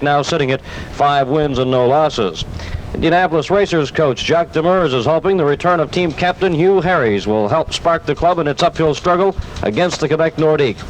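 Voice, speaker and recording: A man reading a scripted 1970s radio sports bulletin. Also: a little high. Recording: noisy old 1970s radio aircheck.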